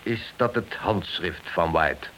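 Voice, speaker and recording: An actor playing a suspicious, sarcastic police inspector with a curt, accusing manner in a radio play. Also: male voice, deep voice, slow, pushed and bright, heavily acted. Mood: sceptical. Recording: dull, slightly hissy old radio drama recording.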